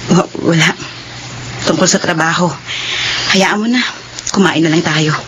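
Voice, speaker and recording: A woman's voice: on the low side, fairly even intonation, a little slow, background noise, lightly acted. An actress playing a withdrawn, troubled young working woman torn in love in a radio drama. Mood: evasive, subdued. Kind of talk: Filipino radio drama acting.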